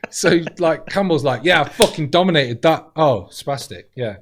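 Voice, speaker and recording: A man talking in casual chat as a comedian guest, deadpan and blunt, swearing freely as he tells stories. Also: a little high, moderate pace.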